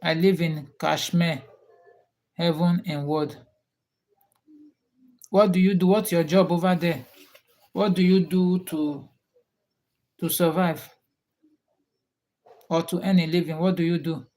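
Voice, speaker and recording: A man's voice: a little high, steady tone, slow, pauses often, a little mellow. A man from Nigeria with a Nigerian accent, hosting a casual livestream chat and repeating his questions to viewers. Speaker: livestream host.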